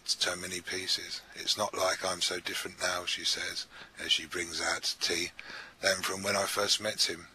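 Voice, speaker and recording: A man's voice: low-pitched, bright, forceful tone, slight background noise. A man reading poems aloud to listeners as a recital. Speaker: poetry reader at a live event. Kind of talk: poetry recital.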